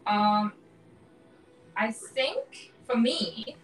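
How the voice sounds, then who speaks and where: Relaxed young woman, slightly off-mic. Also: on the high side, very slow, faint music behind. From Japan. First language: Japanese. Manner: easygoing, laughs between phrases. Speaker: guest. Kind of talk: casual interview chat. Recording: voice a bit far from the mic.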